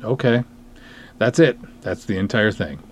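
Man, lowish voice, very varied intonation, self-deprecating podcast host, faint background hum. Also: animated, unhurried. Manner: self-deprecating, wry, emphatic. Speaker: podcast host, writer and director. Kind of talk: podcast monologue with dramatic review readings.

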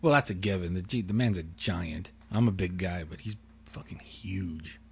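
Quiet, husky-voiced man chatting. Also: sits low, normal speed. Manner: quiet, calm delivery; rambling with frequent restarts and profanity. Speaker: podcast co-host. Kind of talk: casual chat.

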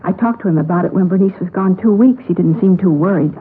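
A woman's voice: lowish voice, quick pace, close to an everyday voice. An actress playing an earnest, worried woman in a police radio drama, speaking in a plainspoken way. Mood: uneasy recounting. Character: earnest, worried young woman reporting missing sister. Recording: tinny vintage radio recording, noisy.

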